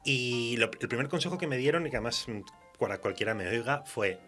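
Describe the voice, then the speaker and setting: A man with an accent from Spain chatting casually as a guest writer in a radio interview, telling stories in an animated, self-mocking way. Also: mid-range voice, brisk, soft background music. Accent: Spanish from Spain.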